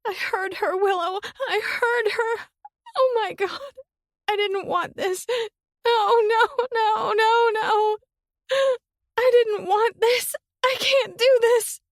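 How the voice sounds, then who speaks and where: A woman's voice: extremely high pitch, fairly even intonation, slow pace, dry recording, clean studio sound, voice pushed up, full character voice. A woman voicing a distraught young woman who is crying and out of breath, shrieking and babbling through sobs. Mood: hysterical. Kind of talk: audiobook character voice.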